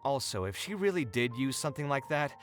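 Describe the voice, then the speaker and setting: A man's voice: medium pitch, sing-song, fairly quick, lightly acted. A man playing an earnest, slightly wry young architect in an anime-style video game, speaking in an articulate, thoughtful, storytelling way. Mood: reflective, hopeful. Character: earnest young male architect reminiscing, expressive, a little wry.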